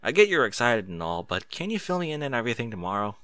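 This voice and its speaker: A man's voice: medium pitch, big pitch swings, fast, sharp tone, dry recording. Man voicing a sleepy, grumbly young dragon. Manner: mumbling, casual.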